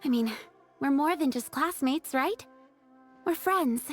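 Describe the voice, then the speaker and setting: An earnest young student in a game drama. Her voice is high and girlish, pleading and attached. Faint music plays underneath. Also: lively intonation, measured pace. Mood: pleading, hurt. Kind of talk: dramatic video game voice acting.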